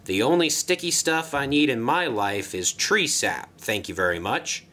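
A man's voice: mid-range voice, expressive, normal speed, sharp tone. A man voicing a polite, easygoing male tank engine who speaks in a courteous, dry way.

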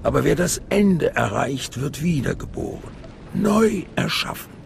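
A man playing an ominous older cult master in a video game, with a hushed, menacing intensity. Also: a little high, very animated, unhurried, gravelly, slight background noise, heavily acted. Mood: grave, commanding. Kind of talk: dramatic video game voice acting in German.

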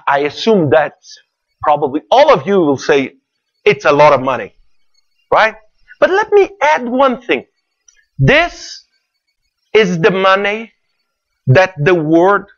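A middle-aged man from Brazil with a Brazilian Portuguese accent, giving an earnest conference talk in a large hall, halting with fillers and repeats. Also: high-pitched, fairly bright tone, highs cut off. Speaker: conference speaker. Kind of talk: keynote talk.

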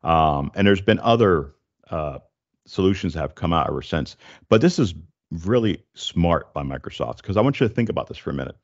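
A man in his forties hosting a casual tech explainer, speaking in a halting, stop-and-start way into a close desk microphone. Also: low-pitched, lively intonation, dull audio. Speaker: tech show host. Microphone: desk condenser microphone, close, with headset.

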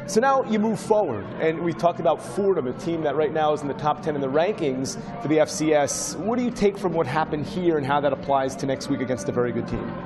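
A man's voice: fairly high pitch, expressive, fairly quick, few pauses, big-room sound. A man hosting a postgame studio show, steering questions to the panel. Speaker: studio host.

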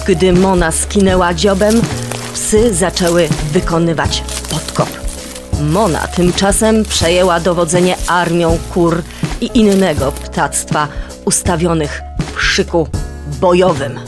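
A woman's voice: lowish voice, slow. A woman narrating a children's story in a scripted storybook style. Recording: music bed behind voice.

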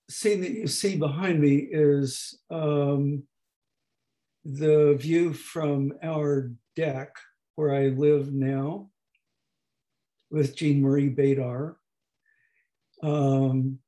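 A man in his eighties lecturing slowly over a video call, with a hoarse, gravelly voice that is not fully distinct and that often pauses and restarts. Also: on the high side, dark tone. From United States. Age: 80s. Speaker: professor emeritus giving a talk. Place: home room at a desk. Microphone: webcam on a video call.

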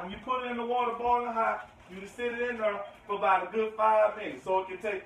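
Man, high voice, slangy casual cooking host, repeats instructions, echoey noisy room. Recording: echoey room with background noise.

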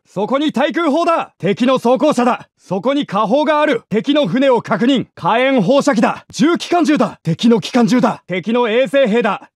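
Fierce wartime Japanese soldier, very high-pitched voice, clipped military callouts, dutiful, urgent, close dry sound, video game. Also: male voice, no echo. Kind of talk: video game combat voice lines, barked commands and callouts.

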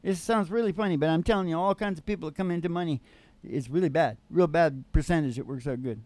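A man's voice: on the high side, fast talker, darkish tone, dry recording. An older man hosting a casual, rambling chat in a folksy way, often repeating his phrases. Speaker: podcast host and musician. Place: small curtained stage studio indoors. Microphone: microphone on stand. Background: acoustic guitar strumming.

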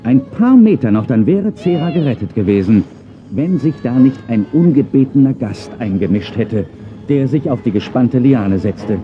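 A man narrating a children's audio play as a dramatic, animated storyteller. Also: medium pitch, normal speed, faint music behind, lightly acted. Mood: suspenseful. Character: animated male storyteller of a children's dinosaur tale. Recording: dull cassette-era audio play recording.

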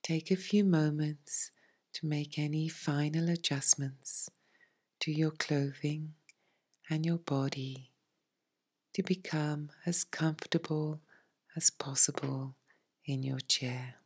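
Woman, meditation guide, husky breathy tone, slow delivery, soothing, near-whispered, pausing often. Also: sits low, fairly even intonation. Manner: soothing, hushed, measured phrasing with long pauses. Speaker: yoga nidra meditation teacher.

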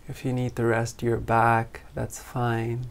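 Slow, gravelly-voiced man guiding meditation. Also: medium pitch. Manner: slow, calm, gentle instructions with long pauses. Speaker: meditation teacher. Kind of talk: guided meditation.

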